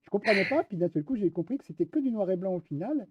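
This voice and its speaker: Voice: mid-range voice, animated, fast, noisy background. Interviewer, casual chat, friendly questions, laughs easily, muffled lo-fi sound.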